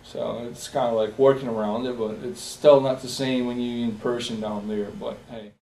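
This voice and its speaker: Soft-voiced Bosnian-born man, halting, roomy echo. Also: mid-range voice, fairly even intonation. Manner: halting, many fillers and restarts. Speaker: interviewee. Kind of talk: personal interview testimony. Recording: roomy echo, distant from the mic, faint hiss.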